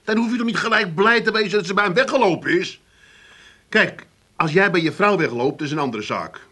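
An exasperated but caring grown son from an Amsterdam radio comedy. His voice is fairly high and bright, with very animated intonation. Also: fairly quick, heavily acted. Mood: reasoning. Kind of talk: comedic radio drama acting.

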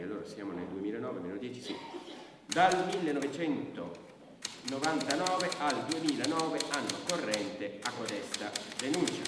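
A man acts out a plodding, pedantic police brigadier who handles a statement like pure paperwork. The delivery is theatrical and officious, with a mid-pitched voice. It rings through a long, distant hall reverb over clear noise. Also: natural voice. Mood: officious dictating. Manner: officious, pedantic, literal-minded, slow dictation voice when recording statements. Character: plodding bureaucratic male police brigadier taking a theft report. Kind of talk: theatrical stage acting. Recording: very echoey hall from far off, noisy.

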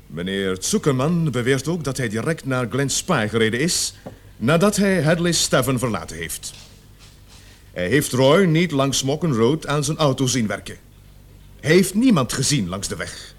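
Stern, animated police officer. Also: male voice, medium pitch, normal speed. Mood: methodical, grave. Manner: authoritative, pressing, theatrically emphatic. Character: stern, commanding male police officer leading an interrogation. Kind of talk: radio play acting.

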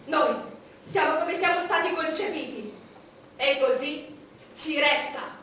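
An actress playing an imperious, vain, solitary English queen in a declamatory stage monologue with dramatic pauses. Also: high-pitched, far from the mic. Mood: mocking, scornful. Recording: noisy, echoey stage recording from afar.

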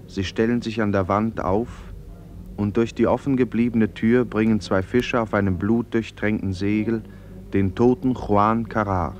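Man as low, sober narrator over noise. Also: darkish tone, close to an everyday voice. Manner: measured, sober. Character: solemn male narrator reading stage directions. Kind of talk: scripted radio play narration.

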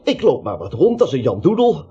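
Nervous wimpy little man in an old radio drama, rambly and stuttery, real lively pitch, muffled sound. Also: brisk, breathy edge, exaggerated voice. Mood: nervous chatter. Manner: jittery, rambling, stammering. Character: anxious, timid little man, a cowardly reluctant vigilante. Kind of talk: radio play acting. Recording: dull, slightly noisy radio recording.

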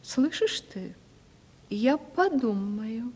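A woman's voice: a little high, animated, extremely slow, darkish tone, husky, exaggerated voice. An actress playing a vain, domineering aging provincial lady in a Russian radio play, with a theatrical, imperious manner. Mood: stern, condescending. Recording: reverberant 1970s radio play recording.

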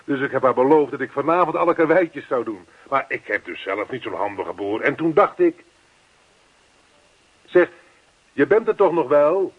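Husky, jovial pushy neighbour. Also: male voice, mid-range voice, lively intonation, moderate pace. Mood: chatty, coaxing. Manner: hearty, chummy, insistent. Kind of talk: radio play acting. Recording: dull lo-fi radio play recording.

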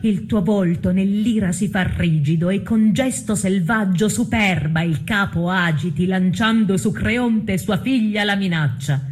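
An actress as slow, solemn tragic chorus. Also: female voice, medium pitch, darkish tone, natural voice. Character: solemn chorus narrator denouncing a dangerous foreign sorceress. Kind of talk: solemn classical tragedy declamation.

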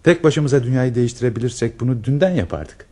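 A man's voice: mid-range voice, lively intonation, fast, darkish tone, natural voice. A man playing an ardent, intense officer in earnest, declamatory radio theater style. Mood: insistent, protective. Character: lovestruck, intense male officer in a wartime romance.

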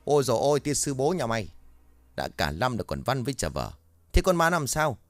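A man's voice: on the low side, expressive, fast, dry recording, lightly acted. A man voicing an impatient, swearing older night guard. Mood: exasperated. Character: gruff cursing older male night guard.

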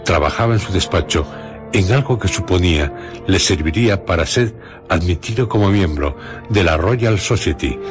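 Very deep Castilian male radio narrator. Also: lively intonation, normal speed. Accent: Castilian Spanish. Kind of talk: scripted radio drama narration. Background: music.

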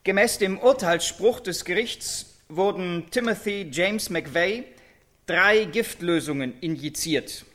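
A radio-play prison warden at a press briefing. His voice is high and sharp, and he delivers each formal phrase slowly and with measured official care. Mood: solemn, official.

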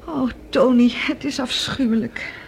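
An actor a radio play playing a worried, questioning companion on a fleeing spaceship. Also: male voice, very high voice, measured pace, creaky, full character voice. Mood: despairing. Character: anxious companion of a fugitive space professor. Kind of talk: Dutch radio drama acting. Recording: lightly noisy old radio drama.

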